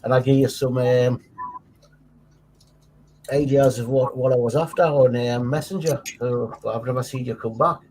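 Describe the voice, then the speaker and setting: A man's voice: mid-range voice, fairly even intonation, moderate pace, long pauses, a little mellow, a little hiss or hum, low-quality recording. A man with a Geordie accent on a hobby panel who talks in long, rambling explanations. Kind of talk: casual chat on a live stream.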